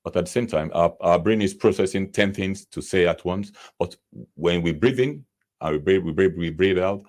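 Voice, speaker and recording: A man's voice: deep voice, moderate pace, a little mellow. A man, a radio guest, speaking about stammering and stammering often himself as he talks. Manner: stammers, repeats sounds and words. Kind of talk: radio studio interview. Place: radio studio.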